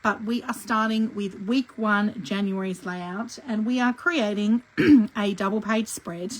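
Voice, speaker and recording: A middle-aged woman with a croaky voice from a lingering cough, teaching a live scrapbooking tutorial in a chatty way and clearing her throat often. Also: medium pitch, measured pace, low-quality recording. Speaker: scrapbooking instructor.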